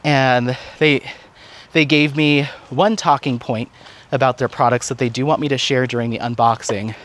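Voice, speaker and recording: A man's voice: mid-range voice, steady tone, normal speed, a bit bright. A man chatting casually and conversationally in a rambling, upbeat walk-and-talk vlog update, recorded outdoors. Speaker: craft vlogger and content creator.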